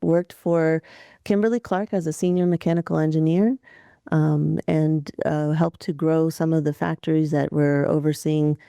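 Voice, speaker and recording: A woman's voice: low voice, fairly even intonation, moderate pace, gravelly, warm tone, clean tone, dry recording, clean studio sound. A woman in her forties from Atlanta, a business founder telling her story as a podcast guest, with fillers and detailed lists. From Atlanta, Georgia, USA. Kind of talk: casual interview talk.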